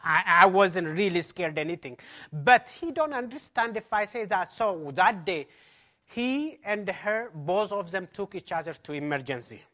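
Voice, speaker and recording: A man's voice: sits high, pushed and bright. A man pastor from Ethiopia with an Ethiopian accent, giving an emphatic personal testimony as a conference talk in non-native English.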